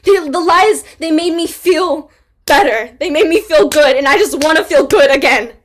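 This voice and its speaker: An actress reading the part of a grieving young pregnant woman, speaking haltingly and dropping to near whispers. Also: sits high, a little hiss or hum, natural voice. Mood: desperate. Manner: halting, trailing into quiet, near-whispered confessions. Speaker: actor in a staged play reading. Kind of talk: staged play reading.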